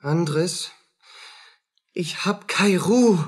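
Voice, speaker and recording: A woman's voice: fairly low pitch, expressive, drawn-out words, slightly rough, exaggerated voice. A woman playing a tormented, jealous male soldier in a radio play, agitated, speaking in broken, repetitive bursts. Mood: restless, feverish. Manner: agitated, fragmented, obsessive repetitions. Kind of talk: dramatic radio play acting.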